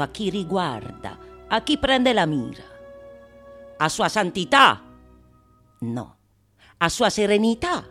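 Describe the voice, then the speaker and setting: Slow, solemn theatrical philosopher. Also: female voice, mid-range voice, fairly bright tone. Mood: rhetorical, solemn. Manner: solemn, declamatory, deliberate with long pauses. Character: grave, ardent philosopher-author declaiming a dedication. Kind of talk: theatrical monologue, radio theatre.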